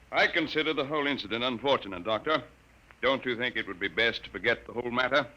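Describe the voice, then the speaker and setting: An actor playing an officious military officer in a 1950s radio drama, with a formal manner. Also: male voice, medium pitch, animated, brisk, sharp tone, lo-fi sound. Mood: stiffly formal. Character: officious male military officer, curt then stiffly polite. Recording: hissy, clicking old radio recording.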